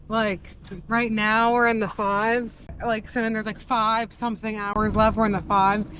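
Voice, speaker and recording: This is a chatty young woman with a slightly high voice, vlogging from inside a car on a long road trip. She talks in an upbeat, casual way, full of "like". Faint road noise hums under her voice. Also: fairly bright tone. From US East Coast. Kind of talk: casual travel vlog narration.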